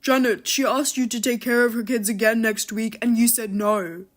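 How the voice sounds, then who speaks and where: A woman's voice: a little high, steady tone, moderate pace, lightly acted. A woman voicing an unemployed husband who is lazy and defensive, answering in an irritable, dismissive way.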